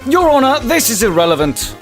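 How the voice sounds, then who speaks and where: A man's voice: very high-pitched, very animated, brisk, soft background music. A man voicing a smug, indignant male prosecutor with a haughty, scoffing manner. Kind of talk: fan dub of game dialogue.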